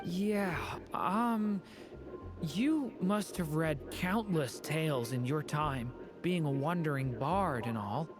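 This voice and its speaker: A gentle young apprentice adventurer in a fantasy game. The voice sits low and takes its time, earnest and polite, with music behind. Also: female voice, animated. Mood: polite, curious. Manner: thoughtful, polite, measured. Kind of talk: anime-style video game acting.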